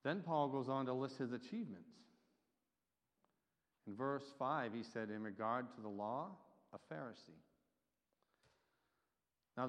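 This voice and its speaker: A man preaching a sermon, with emphatic delivery that swells and drops in loudness. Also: mid-range voice. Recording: dull reverberant hall recording.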